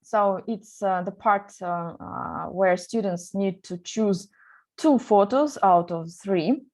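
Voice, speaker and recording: A woman's voice: medium pitch, slow pace, darkish tone. A woman running an online seminar for teachers, talking with many fillers and restarts. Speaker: teacher trainer.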